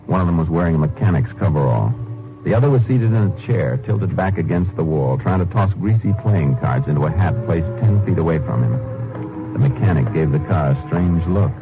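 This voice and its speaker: An actor playing a calm, shrewd Texas Ranger investigator in a measured, laconic 1950s radio drama style. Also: male voice, sits low, over music, rough recording. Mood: measured narrating. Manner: measured, probing, deadpan. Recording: old 1950s radio broadcast recording.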